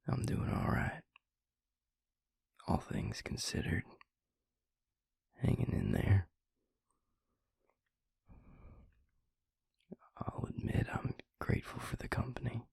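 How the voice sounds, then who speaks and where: A man playing a weary, grieving vampire lover, tender and gruff, in an intimate audio roleplay with a husky, gravelly murmur. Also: no echo, clean studio sound, exaggerated voice. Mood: soft, tender. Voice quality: husky, breathy, gravelly low voice. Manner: slow, halting, murmured, with sighs.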